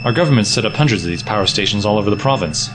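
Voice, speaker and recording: An actor playing a wry resistance scientist in an audio drama, explaining things drily. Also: male voice, fairly low pitch, animated, very fast, natural voice. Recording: music behind the voice.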